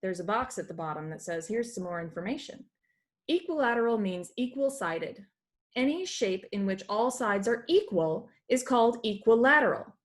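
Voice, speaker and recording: A woman's voice: a little high, expressive, moderate pace. A young adult woman teacher reading a math lesson aloud to children, recorded at a computer indoors.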